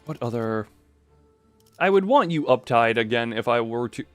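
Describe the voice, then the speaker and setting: A man's voice: medium pitch, lively intonation, normal speed, a bit bright, no room sound. Rambling man streaming over game music. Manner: rambling, thinks aloud, stammers on word starts. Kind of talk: casual live-stream commentary, reading game text aloud.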